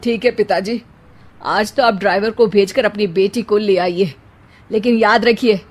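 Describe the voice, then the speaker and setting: A woman's voice: medium pitch, moderate pace, lightly acted. A woman voicing a cheeky, blunt, outspoken young nephew in a cartoon story. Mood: defiant.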